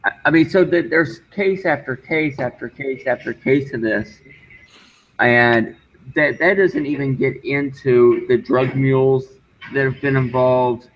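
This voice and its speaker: A man arguing his point in casual online talk, halting and insistent, often repeating himself. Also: mid-range voice. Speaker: discussion guest. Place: online group voice call. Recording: dull, noisy voice-call audio.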